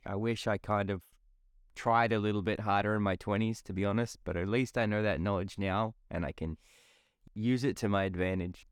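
Low-voiced man in his twenties hosting a podcast. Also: clean tone, no echo, studio quality. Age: 20s. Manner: casual, chatty, blunt, swears freely. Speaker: podcast host. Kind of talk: solo motivational podcast monologue.

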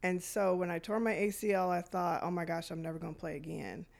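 A middle-aged woman from Detroit speaks as a podcast guest, her voice low and gravelly. She tells her own story in a reflective way, pausing often on fillers. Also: level tone, a little mellow. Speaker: guest, youth programs director. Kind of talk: podcast interview, personal story.

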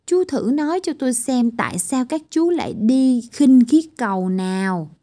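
A woman's voice: high-pitched, animated, normal speed, mellow, pitched above the performer's own voice. Woman doing a stern little girl doctor. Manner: firm, scolding commands. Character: stern tiny girl doctor, strict and bossy. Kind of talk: storybook reading.

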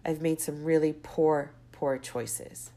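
Low-voiced stuffy woman podcaster rambling. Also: fairly even intonation, slow, warm tone. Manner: rambling, goes off on tangents. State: stuffy, congested with a cold. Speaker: podcast host. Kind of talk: casual solo podcast monologue.

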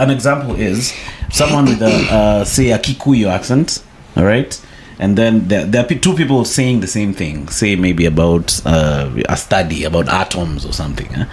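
A man's voice: fairly low pitch, moderate pace, darkish tone, a little hiss or hum. A man from Kenya with a Kenyan accent, chatting casually, laughing often and dropping in "you guy". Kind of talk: casual chat.

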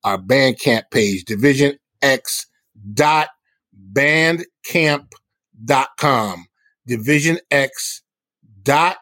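A man's voice: medium pitch, fairly bright tone, no echo. Earnest man, dry sound. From South Bronx, New York City. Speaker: hip hop musician and guest. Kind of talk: conversational interview answers.

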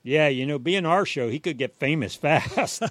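A man's voice: on the high side, moderate pace, a bit bright, no echo. A man co-hosting a home improvement show with folksy, playful, joking advice. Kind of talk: jokey scripted home-advice banter.

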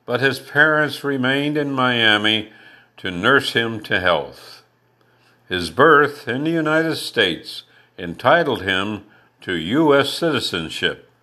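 Slow, measured older man host. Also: fairly low pitch, bright, forceful tone. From Canada. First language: Canadian French. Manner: measured, deliberate reading. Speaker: podcast host. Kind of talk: scripted biographical narration.

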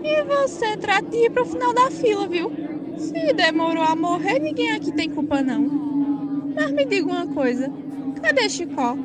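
A woman playing a bossy, sharp-tongued small-town wife in a radio soap opera. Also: very high-pitched, big pitch swings, moderate pace, a loud crowd, very noisy. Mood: scolding.

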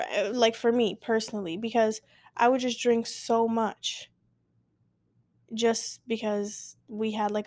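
A woman's voice: on the high side, darkish tone, dry recording. A woman in her twenties hosting a casual solo podcast, rambling with many fillers and self-interruptions. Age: 20s. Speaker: podcast host. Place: at home, late at night.

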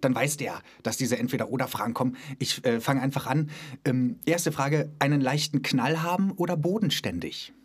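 A man hosting a podcast in a relaxed, joking manner, interviewing a colleague. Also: medium pitch, normal speed, slightly rough. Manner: playful, lively, jokes with the guest. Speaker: podcast host, actor and author at a children's theater.

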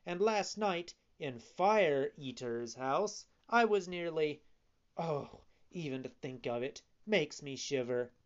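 Storybook puppet boy, naive and excitable, voiced by the reader, lively and wide-eyed. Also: mid-range voice, takes it slowly, highs cut off, pitched above the performer's own voice. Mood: eager. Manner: wide-eyed, eager, easily astonished. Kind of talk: audiobook reading.

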